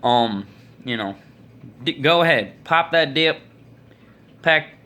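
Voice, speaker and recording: A man's voice: a little high, lively intonation, slow pace, sharp tone. A man in his twenties with an American accent, telling stories casually in a folksy, opinionated way into a phone at arm's length. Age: 20s. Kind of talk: casual rambling storytelling to camera. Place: small indoor room.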